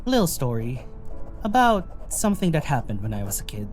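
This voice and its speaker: An actress playing an evasive, low-key mystic traveler in a naturalistic audio drama, hesitant and understated. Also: female voice, big pitch swings, brisk, darkish tone, faint music behind.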